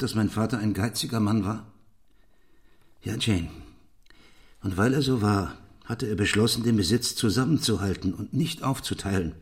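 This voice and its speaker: Radio play role: brooding, embittered gentleman of middle years male actor, deep-set voice, intense confessional delivery. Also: close to an everyday voice. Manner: deliberate, intense storytelling. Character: bitter, brooding English gentleman confessing his past. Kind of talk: German radio play acting, dramatic monologue.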